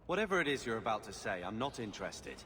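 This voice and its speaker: A man's voice: lowish voice, big pitch swings, fast, a bit bright, in a large hall, a little hiss or hum. An actor playing a resentful, sarcastic young man, a brooding younger brother in a video game. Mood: irritated, sarcastic. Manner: sullen, sarcastic.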